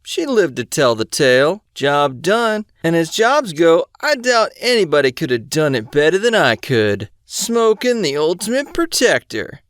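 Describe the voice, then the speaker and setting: Man playing a smug, slow-talking boastful sergeant. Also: on the high side, sing-song, fairly bright tone, no room sound, clean studio sound. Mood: smug, breezy. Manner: self-satisfied, breezily excusing himself. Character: smug, boastful male sergeant, slippery charmer. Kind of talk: audio drama acting.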